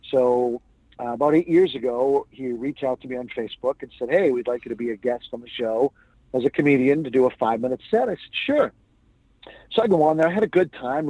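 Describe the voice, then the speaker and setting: A man's voice: medium pitch, dark tone, dry recording, poor audio. A man, a stand-up comedian, telling stories as a guest in a casual interview. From New England. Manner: chatty anecdotal storyteller.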